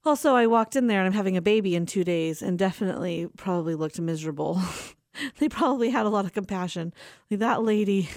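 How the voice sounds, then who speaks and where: Young-sounding woman with a gravelly edge. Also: mid-range voice, fast talker, darkish tone, no room sound, clean studio sound. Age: 40s. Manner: rambling and personal, laughs often. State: tired. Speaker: radio show host. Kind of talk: casual chatty radio talk.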